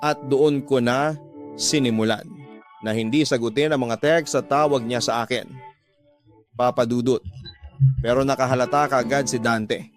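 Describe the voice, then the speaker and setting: A man's voice: medium pitch, steady tone, moderate pace, pauses often. A man with a Filipino accent gives an emotional, scripted first-person narration for a radio drama. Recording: music bed behind the voice.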